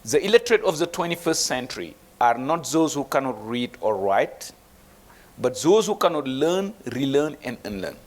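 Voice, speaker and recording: A man's voice: medium pitch, sing-song, normal speed. A man speaking as an investment banker on a panel, emphatic, with rhetorical questions and frequent restarts. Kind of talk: motivational panel talk.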